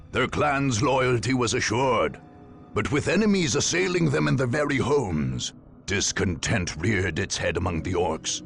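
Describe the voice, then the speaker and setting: A man delivering dramatic scripted game narration in a grave, gravelly storytelling manner. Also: low-pitched, a bit bright. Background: background music.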